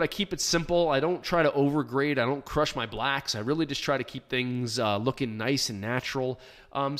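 A man hosting a live podcast, answering listener questions in a plainspoken, chatty way with plenty of ums. His voice is hoarse from shouting. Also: on the high side. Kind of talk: casual live podcast chat. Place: small home studio.

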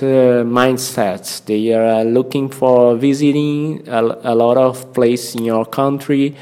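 Slow, halting Brazilian man, mellow voice. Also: mid-range voice, smooth. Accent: Brazilian Portuguese. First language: Portuguese. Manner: halting, searching for words, repeats himself. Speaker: language-learning podcast host. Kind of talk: informal spoken English-learning lesson.

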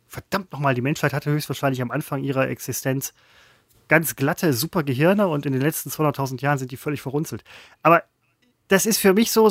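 A man's voice: on the high side, no room sound. Dry, wry man, close and dry sound. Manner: dry, wry musings, deadpan quips. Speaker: podcast co-host. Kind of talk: comedic chat.

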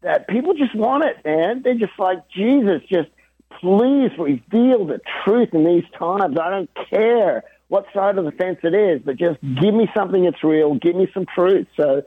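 A man being interviewed about drumming and faith, talking in an earnest, animated way and laughing easily. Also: sits high, moderate pace, dry recording. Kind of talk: casual interview chat. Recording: dry tinny phone-like line.

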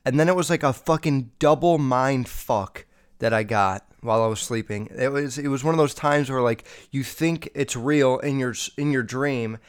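Rambling young man, smooth voice. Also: mid-range voice, moderate pace. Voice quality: slightly nasal. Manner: rambling, heavy swearing, many fillers. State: feeling sick. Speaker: podcast host. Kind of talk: casual solo podcast chat. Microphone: podcast microphone.

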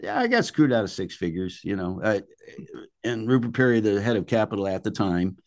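An older man speaks in a deep voice with very little room sound around it. He tells anecdotes as an interviewed musician would, casually and colloquially. His phrasing is blunt and salty. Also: big pitch swings, a little fast, darkish tone, no room sound, clean studio sound.

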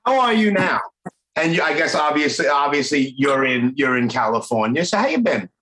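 A man's voice: on the high side, very animated, normal speed. Chatty Brooklyn man in an echoey room. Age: 40s. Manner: chatty, warm-hearted, rambling. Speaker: podcast host. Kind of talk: casual interview chat.

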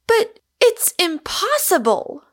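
A woman voicing an excitable, easily startled young woman. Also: high voice, unhurried, pitched above the performer's own voice.

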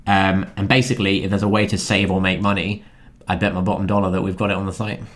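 A young man, co-hosting a podcast in a relaxed, joking way with lots of fillers. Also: sits low, fast talker. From London. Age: 20s. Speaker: podcast co-host. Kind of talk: casual podcast chat.

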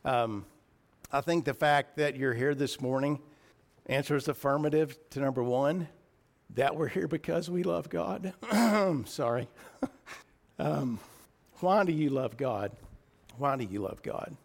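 A man with a raspy voice preaching a sermon in church, earnest and a little emotional, often clearing his throat. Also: mid-range voice, measured pace, pauses often.

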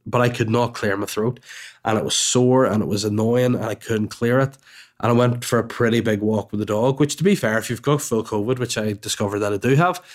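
Stuffy-voiced man rambling through a story. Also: on the low side. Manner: rambling, chatty, with swearing and asides. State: congested and stuffy with a cold. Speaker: podcast host and comedian. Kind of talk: casual storytelling monologue. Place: at home.

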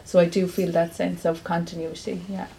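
A woman's voice: lowish voice, little pitch movement, normal speed, gravelly, warm tone, slight background noise. An Irish woman from Clare, a poet, answering earnestly at a live bookshop interview. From County Clare, Ireland. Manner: earnest, reflective, with many fillers. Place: bookshop event.

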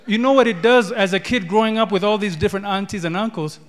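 High-voiced preacher in a big echoing hall. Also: male voice, fast talker. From Ethiopia. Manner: repeats words, builds in rising declarations. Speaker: pastor. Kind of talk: sermon. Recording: long hall reverb.

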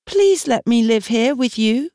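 A desperate teenage orphan girl, played by a woman narrator, pitched well up high. She is earnest and imploring, as in an audiobook novel, and the sound is close and dry. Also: lively intonation, unhurried, a little mellow, no echo. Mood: pleading. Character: pleading, desperate fifteen-year-old orphan girl.

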